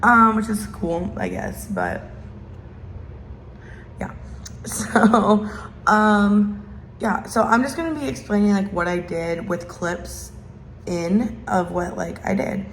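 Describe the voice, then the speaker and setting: A woman's voice: mid-range voice, big pitch swings, slow pace, pauses often. Young woman vlogger, casual and rambling, echoey bathroom with faint hiss. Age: young adult. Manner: rambling, chatty. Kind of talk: casual chat to camera. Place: small bathroom. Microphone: phone propped on a sink.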